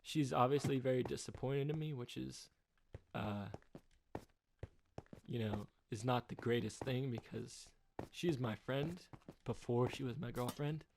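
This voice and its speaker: A man in his twenties rambling through a personal update, halting with many fillers and restarts, subdued by a rough time. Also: medium pitch, background noise. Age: 20s. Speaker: YouTuber.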